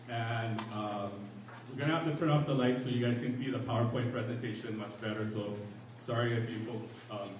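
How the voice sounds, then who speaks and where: Booming-voiced man from a county agency, casual and apologetic host of a public meeting; distant, echoey hall sound with room noise. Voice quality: loud booming voice. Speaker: county housing agency staffer. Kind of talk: opening a community meeting with a prayer. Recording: echoey hall, far from mic, crowd noise.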